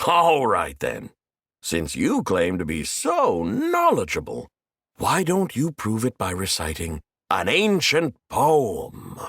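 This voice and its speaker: A man's voice: on the low side, husky, no room sound, clean studio sound, full character voice. A man voicing a boastful, theatrical storyteller who is easily flustered, in a video game. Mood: smug challenge. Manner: theatrical, measured, expressive. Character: self-dramatizing male storyteller, boastful but easily flustered. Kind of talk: video game character dialogue.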